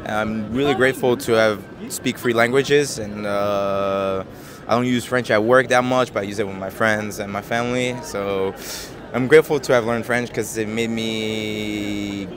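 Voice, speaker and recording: A man in his twenties from Japan, answering street interview questions in a soft, hesitant way with many fillers and easy laughs, into a handheld mic outdoors. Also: fairly low pitch, steady tone, moderate pace. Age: 20s. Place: busy city square outdoors. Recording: busy street crowd behind.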